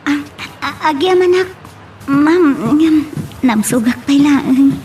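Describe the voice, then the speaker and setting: A woman's voice: high voice, slow, a little mellow, soft background music. A woman acting a shy, hesitant young woman in love in an Ilocano audio drama. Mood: hesitant, polite. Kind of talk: radio-style drama acting. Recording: faint background noise.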